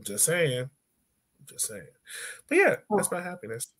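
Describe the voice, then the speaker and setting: A man's voice: a little high, huge pitch swings, a little slow, a bit bright. A young man chatting casually and jokingly on a sports podcast, with informal slangy phrasing, from home on a video call. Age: young adult. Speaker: podcast host.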